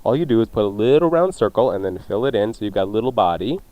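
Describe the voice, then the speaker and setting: A man's voice: fairly low pitch, animated, fast talker, dark tone, no room sound. A man co-hosting a radio show in casual, chatty banter, explaining things in a breezy way.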